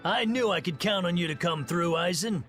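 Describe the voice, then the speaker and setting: An actor playing a shrewd, blunt male harbour dealer in a fantasy game, with a husky voice and a businesslike, smug manner. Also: sits high, normal speed, fairly bright tone, soft background music.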